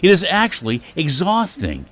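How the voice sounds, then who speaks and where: An actor playing a worn-out, wry older husband and father in an audio comedy, speaking in halting, exclamatory bursts. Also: on the high side, huge pitch swings, fairly bright tone. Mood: exasperated. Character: exasperated, worn-out older husband and father, wryly self-deprecating. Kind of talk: stage-comedy audio drama acting.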